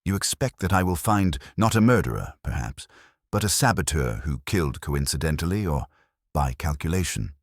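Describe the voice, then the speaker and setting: A man acts out a ponderous, commanding detective in a mystery audiobook. The very deep, rough-edged voice delivers formal, exacting sentences with pompous authority. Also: animated, normal speed, dry recording, studio quality, exaggerated voice. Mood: measured scrutiny.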